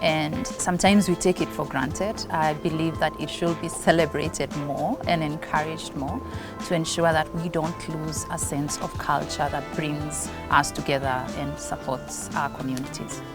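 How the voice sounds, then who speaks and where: A woman's voice: deep voice, steady tone, measured pace. A woman from Kenya, speaking thoughtfully in an interview as a nonprofit leader. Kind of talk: reflective interview. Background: background music.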